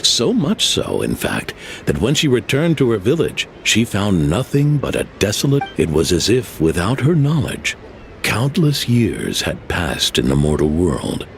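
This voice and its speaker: A man's voice: fairly low pitch, wildly swooping pitch, unhurried, creaky, a little hiss or hum, exaggerated voice. An actor playing a proud, elderly male innkeeper who tells old family legends and scolds skeptics. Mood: storytelling. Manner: grave, lecturing, theatrical. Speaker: guest house keeper. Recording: game audio with faint music.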